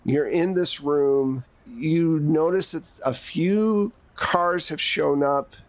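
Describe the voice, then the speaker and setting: A man with a voice a little higher than most runs a tabletop game. He talks casually and conversationally, improvising vivid scene descriptions as he goes. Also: slow. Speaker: game master.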